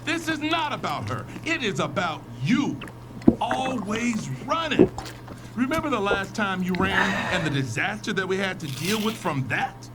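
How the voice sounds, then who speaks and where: A stern, controlling husband and father acted by a man, high in pitch and swinging widely as he scolds. There is clear noise behind this audio drama voice. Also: big pitch swings, unhurried. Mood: scolding. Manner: scolding, emphatic.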